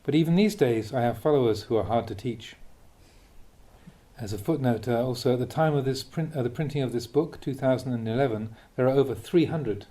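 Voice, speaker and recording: A man reading a Buddhist Dhamma talk aloud as a monk, in a measured, expressive way with emphatic stresses. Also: medium pitch.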